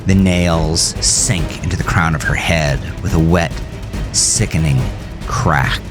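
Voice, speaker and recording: A man's voice: sits low, slow pace. A man reading his own horror story as a dramatic, intense scripted narration. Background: music under the voice.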